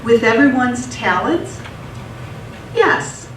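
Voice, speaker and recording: Woman doing scheming confident sister in a radio play, slow talker, echoey stage. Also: on the high side, lively intonation. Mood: businesslike, confident. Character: scheming, confident sister conning her brother for a cabal. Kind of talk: live radio theatre acting. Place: theatre stage. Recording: echoey stage, distant mic, faint noise.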